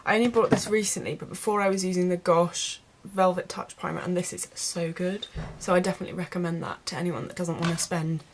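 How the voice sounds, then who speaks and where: A woman's voice: on the low side, steady tone, fast, few pauses, a little hiss or hum. A woman chatting casually as a beauty vlogger, explaining things step by step to her viewers in an informal tutorial style. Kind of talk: casual makeup tutorial chat.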